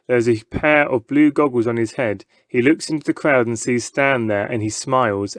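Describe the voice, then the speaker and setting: A man with a mid-pitched voice reads a children's story aloud. His scripted narration is dramatic, and the recording is close and dry. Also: no room sound. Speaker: story reader. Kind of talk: scripted children's book narration, present tense.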